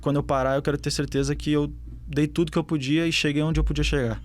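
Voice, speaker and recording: A man's voice: fairly high pitch, flat delivery, quick pace, darkish tone. A young man with a southern Brazilian accent, a footballer answering interview questions casually, with many fillers and restarts. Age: young adult. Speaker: footballer guest.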